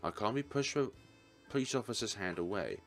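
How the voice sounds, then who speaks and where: Male let's player narrator: low voice, lively intonation, deliberate theatrical read, faint music behind. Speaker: let's player reading aloud. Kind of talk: dramatic read-aloud narration of a visual novel.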